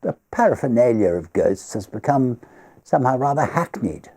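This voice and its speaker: A man's voice: medium pitch, sing-song, moderate pace, warm tone, pitched above the performer's own voice. A man voicing a genteel party host in a storybook, confiding and faintly haunted, with emphatic, refined conversational speech. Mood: dismissive, amused. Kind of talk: storybook character dialogue.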